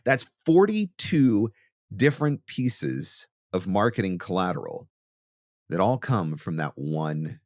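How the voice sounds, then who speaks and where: A man hosting an instructional monologue, talking with emphatic, deliberate stress on key words. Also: deep voice, big pitch swings, darkish tone, no room sound, studio quality. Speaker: host, voice actor teaching marketing.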